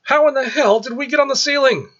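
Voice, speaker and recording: A man's voice: very high voice, fast talker, fairly bright tone, muffled recording, pitched above the performer's own voice. A man voicing a sassy, teasing young woman in a story, with playful exclamations. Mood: startled.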